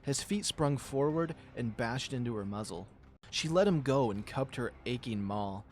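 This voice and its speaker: A man with a middle-pitched voice hosts a visual novel reading. He narrates like a storyteller and also comments casually in his own words. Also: expressive, moderate pace. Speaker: visual novel reader and channel host.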